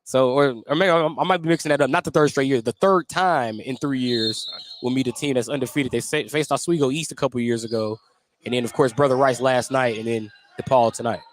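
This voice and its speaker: A man's voice: mid-range voice, fairly even intonation, brisk, a little hiss or hum. A man doing live radio play-by-play of a basketball game in clipped, descriptive running calls. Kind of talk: live radio sports commentary.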